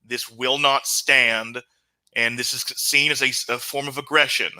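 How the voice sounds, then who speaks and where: A man acts as a stern military officer in a tabletop game, with a very sharp, forceful voice. The orders come out formal and commanding, with halts between phrases. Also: mid-range voice, steady tone, a little slow, dry recording, poor audio.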